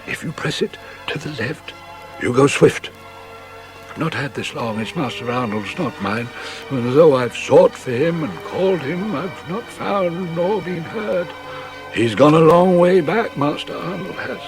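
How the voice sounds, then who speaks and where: A man playing a mysterious, urgent old Punch and Judy showman and magician in a dramatised audiobook, talking in an old-fashioned, rambling way. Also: a little high. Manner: old-fashioned, rambling storytelling with chuckles and repetitions. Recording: music behind the voice.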